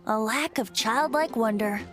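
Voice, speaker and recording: A woman's voice: fairly high pitch, unhurried, close to an everyday voice. A woman voicing a whimsical, youthful bard in an anime game, playful, teasing and theatrical. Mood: musing, teasing. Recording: loud music behind the voice.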